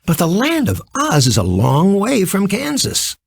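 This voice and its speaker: A man's voice: sits high, huge pitch swings, unhurried, gravelly, husky, no echo, voice pushed up, full character voice. A man voicing an earnest, polite little girl in a children's storybook, exclaiming and sincere. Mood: eager.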